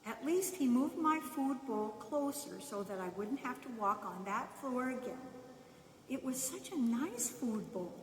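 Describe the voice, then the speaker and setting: A woman reading a children's bedtime story aloud in the first person, unhurried, pausing between short phrases. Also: high voice. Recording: echoey reverberant room, distant mic, faint noise.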